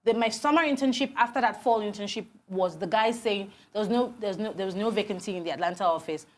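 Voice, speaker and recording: A Nigerian woman in her forties talks as a guest on a TV morning show. She speaks quickly and tells her stories with animated energy. She often restarts her sentences as the thoughts come. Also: mid-range voice. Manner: animated storytelling, many restarts. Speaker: guest, HR consultant. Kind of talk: TV interview conversation.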